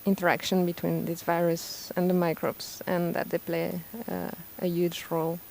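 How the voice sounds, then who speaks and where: A woman explaining science in halting live commentary from a ship's control room. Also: on the low side, monotone, creaky, a little mellow, a little hiss or hum, poor audio. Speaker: scientist narrating a live dive broadcast.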